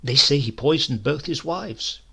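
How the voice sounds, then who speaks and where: A man's voice: a little high, lively intonation, unhurried, vocal fry, bright, forceful tone, husky, heavily acted. A man voicing a naive, curious young boy in a storybook reading, with hesitant, innocent questions. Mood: innocent, questioning. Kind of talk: storybook character voice.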